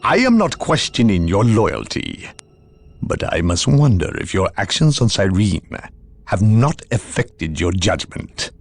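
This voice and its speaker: An actor playing an imperious, coldly polite inquisitor in a video game, deliberate and condescending in his speech. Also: fairly low pitch, no echo, full character voice. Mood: measured, probing. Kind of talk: dramatic video game cutscene acting.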